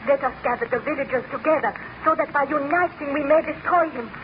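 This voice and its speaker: A woman's voice: high-pitched, fast, lightly acted. An actress playing a frightened, pleading, devoted young woman with urgent melodrama in 1930s radio style. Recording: noisy, muffled old radio recording.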